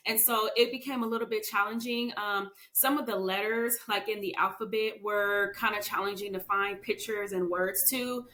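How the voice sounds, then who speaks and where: A woman's voice: steady tone, moderate pace, few pauses, pushed and bright. A woman talking casually as a podcast guest, chatty, with many fillers and "you know what I mean" asides. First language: English. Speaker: podcast guest, children's book author. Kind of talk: casual interview chat. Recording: echoey room with faint rumble.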